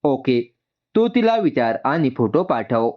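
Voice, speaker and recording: A man's voice: medium pitch, sing-song, a little slow. A man voicing a firm, demanding middle-aged mother in a told story, speaking insistently. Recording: dull, muffled lo-fi sound.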